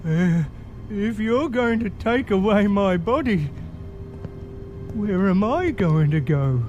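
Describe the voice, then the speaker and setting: Man playing a very high-voiced naive patchwork man. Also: expressive, takes it slowly, a little mellow, highs cut off, soft background music. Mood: anxious. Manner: hesitant, stammering, protesting. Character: naive, frightened patchwork man in distressed denial. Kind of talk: audio drama acting.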